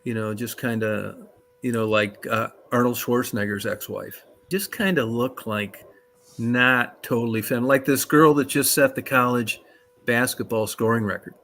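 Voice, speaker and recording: A man's voice: medium pitch, normal speed, fairly bright tone. A man hosting a casual talk show, rambling with fillers and crude jokes. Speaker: show host.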